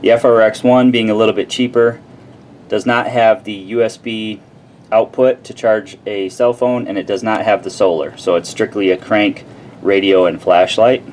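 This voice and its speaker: Man, slightly low voice, casual product demo, plain-spoken, informal, audible background noise. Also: steady tone, moderate pace, darkish tone. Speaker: product reviewer. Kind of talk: casual product review and demonstration. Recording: clearly noisy background.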